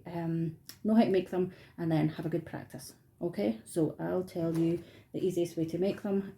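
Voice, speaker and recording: A woman's voice: fairly low pitch, mellow, a little hiss or hum. A middle-aged woman with a Scottish accent, chatting informally and encouragingly as she teaches an online craft workshop from her dining room. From Scotland. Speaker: art workshop tutor.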